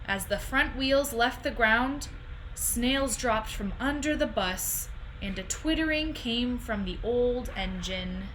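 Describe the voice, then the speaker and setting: A young woman reading a children's picture book aloud in an expressive, deliberate storytelling style, recorded at home on a computer microphone. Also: fairly high pitch, a little hiss or hum, rough recording. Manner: animated, deliberate phrasing.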